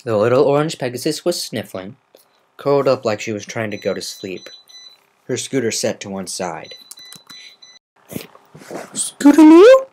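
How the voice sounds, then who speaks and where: A woman's voice: very deep voice, normal speed, a little mellow, rough recording. A woman reading a story aloud as an amateur, in semi-dramatic scripted narration. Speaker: amateur fan fiction reader.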